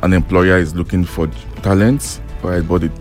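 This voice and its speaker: Deep-voiced interview guest. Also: male voice, fairly even intonation, faint music behind. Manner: measured, explanatory, often says "right?". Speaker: guest, youth employment expert. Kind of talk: radio interview.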